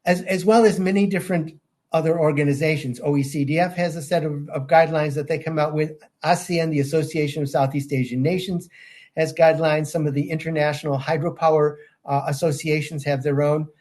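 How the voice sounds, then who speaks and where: An older man answering interview questions in a measured way, with frequent ums and restarts. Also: a little high, fairly even intonation, a little mellow. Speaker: guest, safeguards auditor.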